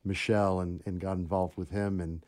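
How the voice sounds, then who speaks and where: A man with a very low, mellow voice conducts the interview, recorded dry and close. He stumbles and repeats words as he frames his questions, often agreeing along the way. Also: level tone, dry recording. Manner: halting, repeats words, supportive backchannels. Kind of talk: interview chat.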